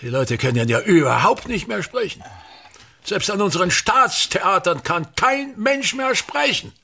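An actor playing a pompous, bitter, tyrannical ageing actor-manager in a radio play, declaiming and haranguing with a husky voice. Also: male voice, sits high, animated, unhurried, bright, forceful tone, exaggerated voice. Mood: scornful. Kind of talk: theatrical radio play monologue.

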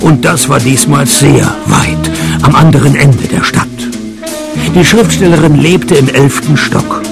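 An actor playing a wry, deadpan male private detective in a noir parody radio play. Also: mid-range voice, measured pace, vocal fry, loud music behind the voice. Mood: dry narrating. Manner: dry, sardonic, deadpan. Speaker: lead actor and narrator. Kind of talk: noir parody radio play, first-person narration and dialogue.

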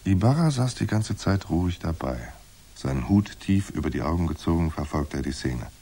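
An actor playing a wry, world-weary private detective in a noir radio drama, speaking in a dry, sardonic, laconic way. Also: male voice, low-pitched, big pitch swings, normal speed, warm tone, breathy edge, full character voice. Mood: wry, detached.

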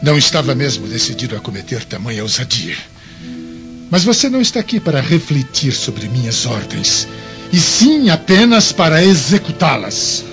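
A man's voice: mid-range voice, sing-song, moderate pace. A Brazilian man playing an arrogant, lustful, scheming Roman governor in a radio drama, imperious and theatrical. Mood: haughty, sly. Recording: muffled lo-fi audio, music underneath.

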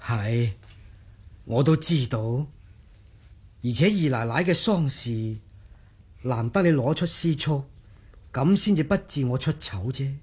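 An actor playing a remorseful gentleman of a wealthy household in a Cantonese radio drama, earnest and placating. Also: mid-range voice, expressive, slow pace, pauses often, husky. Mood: earnest, pleading.

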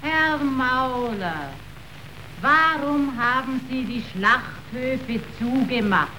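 A woman's voice: high-pitched, animated, drawn-out words, sharp tone, a little hiss or hum, full character voice, rough recording. An actress playing an earnest young mission girl, direct and insistent, in a theatrical radio drama. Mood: insistent, questioning. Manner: plain-spoken, earnest, direct. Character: earnest, insistent twenty-year-old idealist mission girl.

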